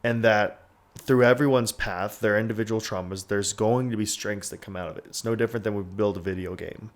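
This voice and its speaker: A man in his twenties with a low voice speaks frankly on a podcast. He rambles, leans on "like" and swears without pause. His talk is loose and unpolished. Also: steady tone. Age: 20s. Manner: rambling, frequent "like", swears freely. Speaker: podcast host. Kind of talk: candid casual podcast talk.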